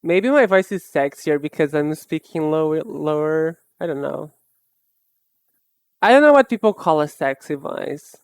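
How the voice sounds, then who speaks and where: Slow, soft-spoken young woman streamer. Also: expressive, smooth, no echo. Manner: rambling, self-mocking, soft and low. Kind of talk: casual chat while drawing live.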